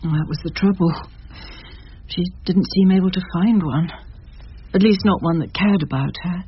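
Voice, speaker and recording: A woman's voice: lowish voice, moderate pace, a little hiss or hum, natural voice. An actress playing a bitter older English mother in a radio drama, terse and cutting. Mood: sorrowful, insistent. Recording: thin, phone-like radio recording.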